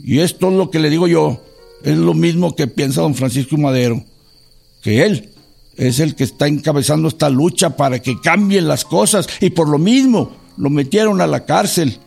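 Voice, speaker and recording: A man's voice: a little high, normal speed, vocal fry, a little mellow, slightly rough, soft background music, exaggerated voice. A man playing an earnest, educated Mexican businessman turned revolutionary in a radio drama, polite, hesitant and persuasive.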